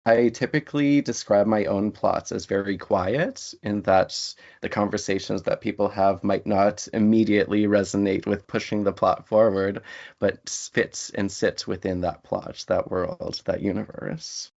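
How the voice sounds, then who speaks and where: A man speaking as a guest writer in an interview, with an articulate, measured and fairly formal way of talking. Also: lowish voice, steady tone, gravelly, a little mellow, lo-fi sound. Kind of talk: interview conversation.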